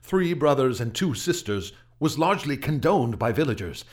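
An actor playing a troubled gentleman recounting frightening events in a radio drama, earnest and hesitant, stammering when unsettled. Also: mid-range voice, sing-song, normal speed, lightly acted. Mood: grave. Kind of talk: radio drama acting, halting testimony.

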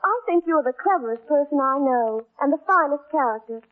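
Sweet polite young gentlewoman, high pitched, earnest peacemaker, old radio play, lo-fi. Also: expressive, a little fast. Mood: earnest, pleading. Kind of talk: 1940s radio drama acting. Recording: muffled old 1940s radio broadcast.

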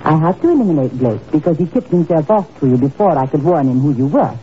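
Haughty villainess on crackly old radio. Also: female voice, sits low, animated, fast. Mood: cold, mocking. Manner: haughty, theatrical. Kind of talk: 1950s radio drama acting. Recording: crackly narrowband 1950s radio recording.

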